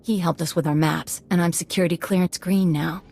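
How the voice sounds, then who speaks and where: A woman's voice: on the low side, fairly even intonation, a little fast, vocal fry, a little mellow. An actress playing an eager, slightly nervy female hacker convict in a video game, self-assured when explaining.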